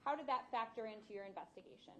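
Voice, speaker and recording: A woman's voice: a little high, quick pace, bright, forceful tone, a little hiss or hum. An American woman speaking as a prosecutor, questioning a witness in formal direct examination in a courtroom.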